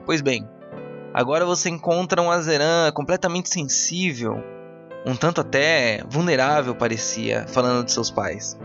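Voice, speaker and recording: A man's voice: on the high side, a bit bright. A man narrating a tabletop roleplaying game as game master. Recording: dull lo-fi, music behind.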